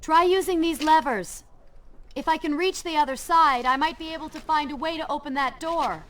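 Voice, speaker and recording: A woman's voice: high voice, normal speed, a bit bright, slight background noise. An actress playing a bold, practical young woman adventurer, wry and impatient, in a dramatic video game. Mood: curious, brisk.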